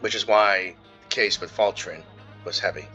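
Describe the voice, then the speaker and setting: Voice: low-pitched, normal speed, pushed and bright, dull audio. A gamer giving casual, slangy commentary over a playthrough. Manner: slangy, informal. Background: game music.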